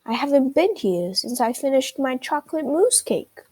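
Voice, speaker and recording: A woman's voice: high voice, very animated, moderate pace, dark tone, husky, full character voice. A woman voicing a big, agreeable antlered moose in a children's storybook.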